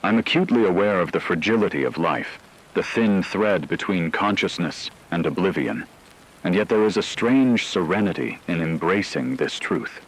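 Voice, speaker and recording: A man playing a solemn radio broadcaster at the world's end, speaking in a measured, reflective way in a scripted radio drama. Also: sits low, animated, slightly rough, rough recording. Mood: solemn, serene.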